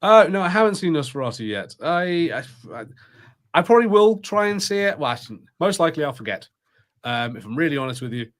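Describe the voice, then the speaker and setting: A man's voice: fairly high pitch, big pitch swings, a little fast, a bit bright. A man, chatting casually as a podcast co-host. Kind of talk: casual chat.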